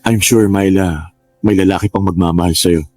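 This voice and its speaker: A man playing a hesitant, apologetic younger boyfriend who speaks haltingly in a radio drama. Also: low voice, steady tone, a little mellow, natural voice. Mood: apologetic, gentle. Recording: music bed under voice.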